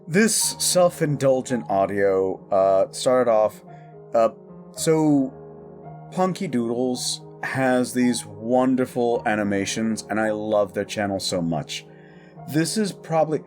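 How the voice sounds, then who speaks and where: A man's voice: medium pitch, a little mellow. Rambling, informal man chatting over music. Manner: rambling, informal, self-interrupting. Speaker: audio roleplay creator and host. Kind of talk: casual chat to listeners.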